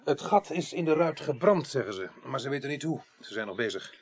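A man's voice: mid-range voice, very animated, a little fast, slightly rough, dry recording. A man acting in a Dutch radio play as a cautious, plain-spoken senior police detective with a hesitant, conversational delivery. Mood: practical, hesitant.

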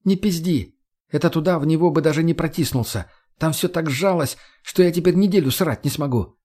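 A man's voice: a little high, brisk, darkish tone, voice pushed up, close to an everyday voice. A man voicing a brash, sarcastic, foul-mouthed young woman in an audiobook, slangy and argumentative. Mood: agitated. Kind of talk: audiobook character voice.

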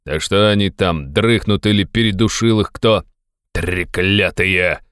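A man voicing a gruff, bossy, impatient visitor who grumbles and bellows in an audiobook of a classic novel. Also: low-pitched, a little slow, a bit bright, dry recording. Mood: furious.

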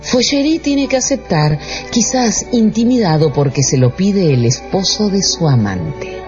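Lively, expressive male radio drama narrator. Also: high voice, a little slow. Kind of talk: scripted dramatic narration of a classic novel for radio. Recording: slightly dull sound with music underneath.

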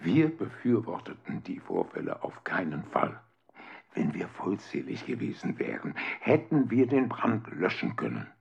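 An actor playing a self-justifying eyewitness in a radio documentary drama, with a gravelly, husky voice. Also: male voice, on the low side, huge pitch swings, a little slow, a little mellow, highs cut off, heavily acted. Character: defensive male eyewitness justifying himself in testimony.

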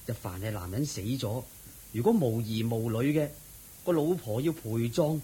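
Harried, sympathetic neighbour, Hong Kong Cantonese radio play; husky, confiding, expressive. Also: male voice, medium pitch, moderate pace, low-quality recording. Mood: grave, gossipy. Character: harried, gossipy, sympathetic village neighbour man. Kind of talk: Cantonese radio drama acting.

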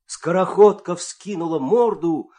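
A man narrating a children's fairy-tale radio play with dramatic, expressive storytelling delivery. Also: high-pitched, measured pace.

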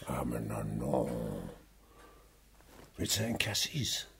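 An actor playing a sly, blustering old provincial miser in a radio drama, muttering and exclaiming theatrically. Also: male voice, a bass voice, big pitch swings, slow, vocal fry, a bit bright, husky, heavily acted. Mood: jovial, muttering. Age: older.

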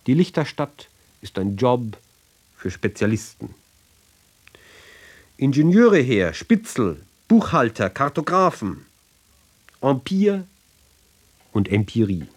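Male reader, medium pitch, very unhurried pace, declamatory radio literature reading, clipped phrasing, sardonic emphasis.

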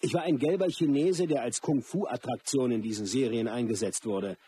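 A man's voice: medium pitch, moderate pace, breathy edge. An actor playing an ambitious, frustrated Chinese martial arts film star who recalls his career in a radio play. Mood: disillusioned. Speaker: actor playing a biographical figure. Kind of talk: first-person radio play monologue. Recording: loud music under the voice.

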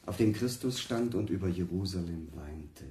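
A man's voice: low voice, lively intonation, moderate pace, a little mellow. A man in a radio play acting a solemn church official who recites an inventory with ceremonial deliberation. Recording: roomy, echoing church-like sound.

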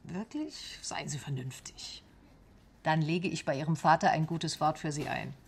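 A pragmatic female detective in a radio drama. She sounds husky and fairly low, and speaks in a composed, matter-of-fact way. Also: a little slow, heavily acted. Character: level-headed, pragmatic female private detective. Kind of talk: radio play acting in dialogue.

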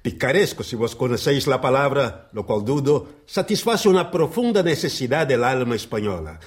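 An actor playing a haughty, bitter, ailing Spanish writer in a radio play, speaking in a formal, disdainful, declamatory manner. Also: male voice, mid-range voice, big pitch swings, a little fast, lightly acted.

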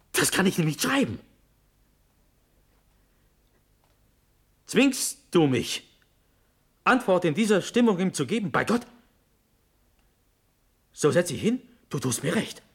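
Radio play casting: honour-bound young prince officer, solemn declamation, unhurried, widely varied pitch. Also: male voice, breathy edge, full character voice. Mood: agitated, vehement. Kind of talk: classical German verse radio drama acting.

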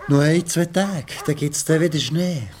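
A man's voice: a little high, unhurried, a little mellow, slightly rough. An actor playing a disgruntled farmer's son in his late thirties in a radio play, blunt, with a Swiss German accent. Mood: flat. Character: disgruntled 38-year-old farmer's son wanting city life.

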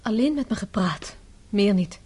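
An actress in a radio play, playing a composed, pragmatic grown daughter who speaks firmly and earnestly. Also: female voice, mid-range voice, animated, normal speed, darkish tone, husky. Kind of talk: radio drama acting.

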